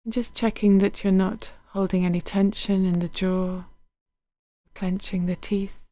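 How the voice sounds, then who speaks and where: Woman doing a guided meditation, really even gentle voice, close dry sound, takes her time. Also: medium pitch, no echo. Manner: gentle, unhurried, soothing, with soft phrase endings. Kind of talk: guided body scan meditation.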